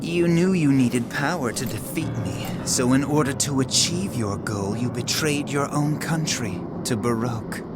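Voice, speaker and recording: An actor playing a cold, power-hungry villain ruler in a video game cutscene, with theatrical, condescending, drawn-out mocking phrasing. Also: male voice, medium pitch, lightly acted. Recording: loud orchestral music behind the voice.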